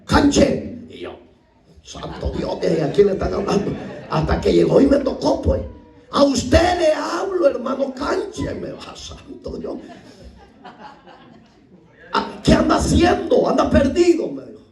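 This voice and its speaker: A middle-aged Salvadoran pastor tells his story from the pulpit with a high, animated voice that leaps up and down, acting out each character and breaking into shouts. He sounds set back from the microphone, and the church hall around him echoes. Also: unhurried, creaky, recorded from a distance. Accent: Salvadoran Spanish. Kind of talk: sermon. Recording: echoey room, voice from off the mic.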